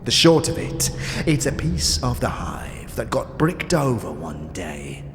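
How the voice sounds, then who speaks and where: An actor playing a withered, sly and contemptuous old underworld boss in a fantasy audio drama, creaky and often dropping into breathy, taunting whispers. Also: male voice, mid-range voice, very animated, slight background noise. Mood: sly storytelling. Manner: theatrical, taunting, drawn-out phrases with street slang. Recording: long hall reverb, music behind.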